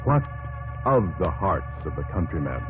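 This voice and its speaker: A man's voice: sits low, sing-song, normal speed. An American man narrating a 1950s radio documentary-drama in an earnest, dramatic yet conversational manner. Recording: vintage radio broadcast, narrow band, loud music.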